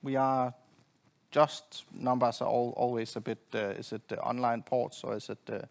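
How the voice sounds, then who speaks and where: A middle-aged man giving a technical presentation from a conference stage in a halting, hesitant way. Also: mid-range voice, flat delivery, creaky. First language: not Swedish. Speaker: conference presenter, network engineer. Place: conference stage at a lectern, indoors.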